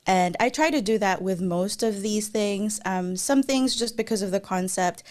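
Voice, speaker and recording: A woman's voice: mid-range voice, moderate pace, a little mellow. A woman in her thirties, a native Tagalog speaker, fields audience questions from an indoor room over a webcam. She keeps it casual and chatty, with frequent fillers and restarts. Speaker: guest speaker. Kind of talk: live Q&A answers on a video call. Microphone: webcam video call.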